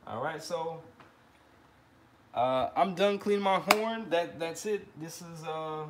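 A man in his thirties hosting a casual trumpet livestream from his living room, relaxed and friendly, often repeating words as he talks. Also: fairly high pitch, slow, crowd noise. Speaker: trumpet teacher and livestream host.

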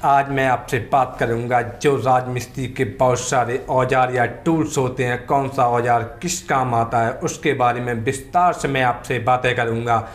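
A man in his thirties, a mason, casually explaining his building tools one by one while recording outdoors on a phone. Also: medium pitch. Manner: plain, unhurried explaining, pointing at each tool in turn. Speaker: mason and channel host. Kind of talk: casual tool demonstration. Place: paved courtyard outdoors, tools laid on the ground.

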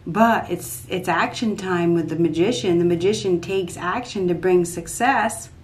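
A woman in her fifties giving an unscripted tarot reading at a desk in a small dark room, repeating herself emphatically as she thinks aloud. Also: lowish voice, unhurried. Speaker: tarot reader.

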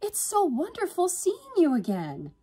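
A woman reader puts on a gushing young woman from a romance audiobook, the dramatic, endlessly cheerful older sister. Her voice jumps high and squeaky, full of overenthusiastic warmth. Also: big pitch swings, a little slow, husky, heavily acted. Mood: gushing delight. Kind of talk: audiobook character voice in a romance novel.

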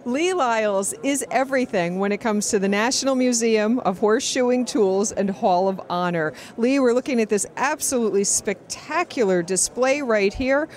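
A woman's voice: fairly high pitch, moderate pace, hardly any pauses, a little mellow. A middle-aged woman hosting TV interviews at a trade show, speaking into a handheld microphone. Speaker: host and interviewer. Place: large indoor trade show hall. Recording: clear show-floor background noise. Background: trade show floor noise.

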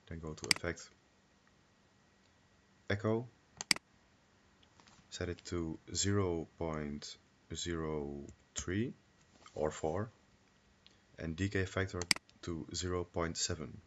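A man with a low-pitched voice presenting a casual software tutorial, recorded on a computer microphone. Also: slow pace, long pauses. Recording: noisy home microphone with clicks.

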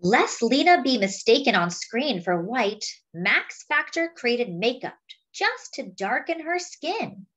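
A woman reading a picture book aloud, with expressive, dramatic phrasing. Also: high voice, rough recording. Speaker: storybook reader.

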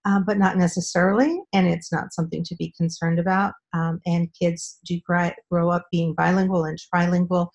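A middle-aged woman speaking as a guest expert on a video call from a room at home, explaining in a measured way with frequent ums. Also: lowish voice, flat delivery, vocal fry, a little mellow. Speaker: speech-language pathologist guest.

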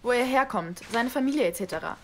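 An actress playing a brisk, matter-of-fact woman explaining a story character in an audio drama. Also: a little high, animated, a little hiss or hum. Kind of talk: naturalistic audio drama acting.

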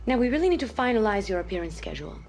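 An actress playing a brisk, image-focused sports agent with a measured, managerial manner in a video game drama. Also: female voice, medium pitch, sing-song, vocal fry, darkish tone. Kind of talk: naturalistic video game cutscene acting.

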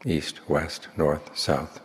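A man speaking as a Buddhist monk, leading a guided meditation in slow, measured phrases with long pauses. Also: extremely low pitch, steady tone. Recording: long hall reverb.

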